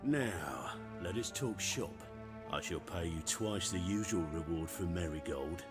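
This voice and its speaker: An actor playing a cold, smugly pompous middle-aged witch-hunter commander in a video game, speaking formally with sardonic, measured phrasing. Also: sits low, animated, over music. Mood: smug, mocking.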